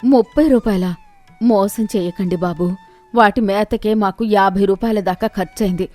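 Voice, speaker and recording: A woman's voice: mid-range voice, moderate pace, dark tone, soft background music, natural voice. Woman playing an outspoken village farmer's wife. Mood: indignant. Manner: indignant, emotional.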